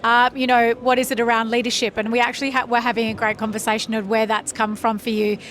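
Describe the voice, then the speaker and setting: A woman's voice: a little high, little pitch movement, fast, a bit bright. A woman hosting a podcast interview at a trade conference, chatty and enthusiastic.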